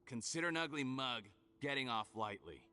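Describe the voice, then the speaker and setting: Sardonic, bright-voiced scheming crook. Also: male voice, mid-range voice, animated, a little fast, clean tone. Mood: defensive, cold. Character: cynical, self-serving scheming escaped convict man with disfigured face. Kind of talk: video game dialogue acting.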